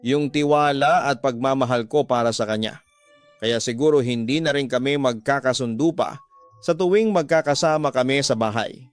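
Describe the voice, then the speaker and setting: A man's voice: mid-range voice, moderate pace, clear, resonant tone, no room sound, lightly acted. A man playing a betrayed husband in a radio drama, hurt and earnest as he emphatically pleads his side. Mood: wounded, pleading. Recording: music bed behind the voice.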